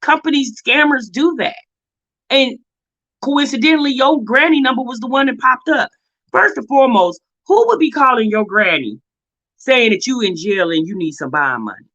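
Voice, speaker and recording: A woman's voice: fairly high pitch, lively intonation, normal speed, a bit bright, dull audio. An American woman giving casual, opinionated commentary as a reaction video host, blunt and sassy, often exasperated and firing off rhetorical questions.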